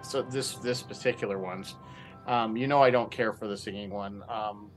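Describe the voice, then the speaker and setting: A man's voice: lowish voice, steady tone, normal speed, faint music behind, low-quality recording. A man sharing opinions casually on a radio roundtable, hedging and repeating himself as he goes.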